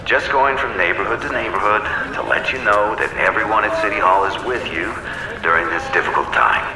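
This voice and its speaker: An actor playing an older deputy mayor in an audio drama, genial, placating and a little flustered. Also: male voice, lowish voice, brisk, recorded from a distance, close to an everyday voice. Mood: cheery. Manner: placating politician, hesitant. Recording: roomy, off-mic, street noise behind.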